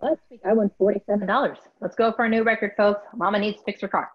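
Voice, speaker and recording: A sarcastic young female producer played by a woman, quick and husky on a thin, phone-like recording. She mocks in deadpan style in a comedy script reading. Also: mid-range voice, close to an everyday voice. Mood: sardonic. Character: sardonic, mocking young female radio producer, 22. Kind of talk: comedy script table read.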